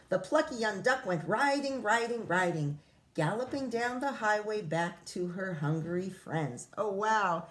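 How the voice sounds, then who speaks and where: A woman with a mid-pitched voice reads a story aloud like a classroom teacher. She tells the rhymes expressively and steps out of them for casual asides, explaining things simply for children. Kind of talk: picture-book read-aloud with casual asides.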